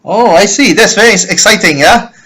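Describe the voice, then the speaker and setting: Bright-voiced Singaporean man hosting. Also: high-pitched, brisk, husky, rough recording. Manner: laughs often, friendly and apologetic. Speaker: host. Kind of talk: casual interview hosting.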